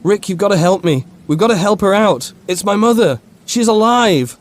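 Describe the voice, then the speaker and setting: A man's voice: lively intonation, moderate pace. A man voicing a grief-stricken soldier in a horror audiobook, begging desperately like a child. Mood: desperate, pleading. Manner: high, strained, begging like a child.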